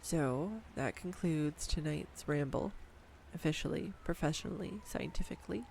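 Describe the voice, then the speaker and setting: A woman voicing a nerdy, affectionate girlfriend who rambles excitedly about animal facts in an intimate audio roleplay. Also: low-pitched, moderate pace, gravelly, slight background noise. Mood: fond. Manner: enthusiastic, rambling, tangent-prone, giggly. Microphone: studio USB microphone.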